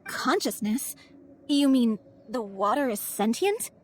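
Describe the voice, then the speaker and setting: High-voiced, frank young female troupe performer. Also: sing-song, slow, a little hiss or hum. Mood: questioning, blunt. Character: frank, wounded young troupe performer, once a sickly outcast. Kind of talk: video game voice acting.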